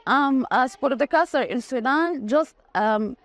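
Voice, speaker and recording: A higher-voiced woman whose native language is Arabic gives an interview. She speaks very slowly and hesitantly, searching for words in limited English. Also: fairly bright tone, dry recording. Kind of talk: halting interview speech.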